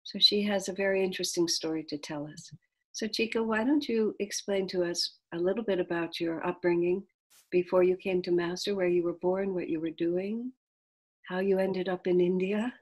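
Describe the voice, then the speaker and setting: A woman's voice: fairly low pitch, fairly even intonation, moderate pace, a little mellow, poor audio. A woman interviewing her sister, asking curious, encouraging questions in a conversational style. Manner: curious, encouraging, many back-channel replies.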